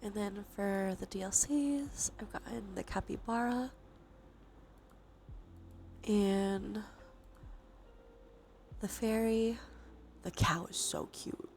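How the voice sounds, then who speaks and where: A woman with a stuffy nose doing a cozy, gentle ASMR stream, chatting casually in a soft, breathy voice that often drops into whispers. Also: medium pitch, takes it slowly, pauses often, a little hiss or hum. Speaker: livestreamer hosting an ASMR stream. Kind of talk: cozy ASMR, casual chat while gaming. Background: soft ambient soundscape.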